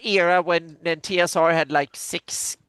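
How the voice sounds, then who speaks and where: A woman's voice: on the low side, fairly even intonation, measured pace, a bit bright, clean tone, no echo. A woman from Sweden co-hosting a casual chat, often repeating and restarting her words. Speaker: co-host, mapmaker. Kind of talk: casual video-call chat.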